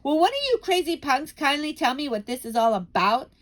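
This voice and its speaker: Woman voicing a shouting, brash storybook boy, high and lively. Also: quick pace, full character voice. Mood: indignant. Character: rude, demanding young boy.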